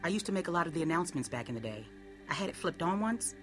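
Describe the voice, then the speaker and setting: An actress playing a tough, sceptical, level-headed survivor in a dramatic video game, speaking bluntly and dryly. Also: female voice, on the low side, sing-song, racing, soft background music. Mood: firm, dismissive. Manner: blunt, dry.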